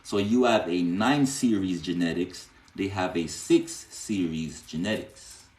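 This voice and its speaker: Man, deep voice, casual stream preacher, keeps asking if you follow, roomy echo. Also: measured pace, darkish tone. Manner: repeats "you know what I'm saying" often, rising emphatic bursts. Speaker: spiritual teacher hosting a live stream. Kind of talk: casual live-stream teaching, answering viewer questions.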